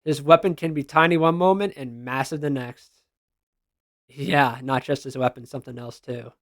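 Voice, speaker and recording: A man's voice: mid-range voice, moderate pace, a bit bright, no room sound, clean studio sound. A man giving casual, slangy reaction commentary as a gaming content creator, responding aloud to what he reads.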